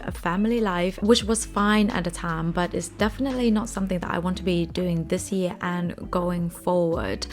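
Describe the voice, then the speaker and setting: Young thai-native woman chatting to camera, earnest, soft music under it. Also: mid-range voice. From Thailand. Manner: earnest, chatty, with small slips. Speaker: finance vlogger. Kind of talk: casual talk to camera.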